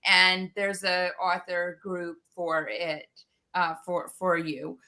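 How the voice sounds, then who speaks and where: A woman answering interview questions as an author, conversational and halting, often repeating words and trailing off. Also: on the low side, level tone, pushed and bright.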